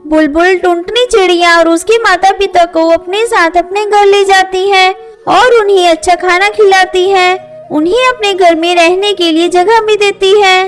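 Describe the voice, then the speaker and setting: A woman's voice: very high voice, a little slow. A woman narrating a scripted children's story. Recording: faint music under voice. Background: faint music.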